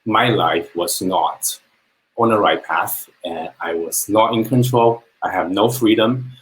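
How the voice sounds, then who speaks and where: Earnest man from China, lower voice. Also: slow pace, a bit bright, low-quality recording. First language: Chinese. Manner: earnest, plain-spoken storytelling with small restarts. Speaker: business owner sharing his story. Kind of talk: personal testimonial on a live webinar.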